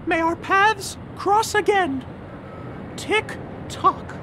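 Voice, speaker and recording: A woman's voice: very high-pitched, drawn-out words, noisy background, heavily acted. A woman voicing a cheerful, playful little living cartoon clock in a video game. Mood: chummy. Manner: exclamatory, chummy, playful catchphrases. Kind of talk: video game character acting.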